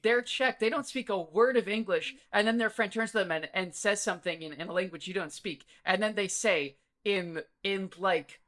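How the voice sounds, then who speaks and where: Bright-voiced male podcast co-host, rambling casually. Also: high-pitched, moderate pace, hardly any pauses. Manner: rambling, animated storyteller, swears freely. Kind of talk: casual chat.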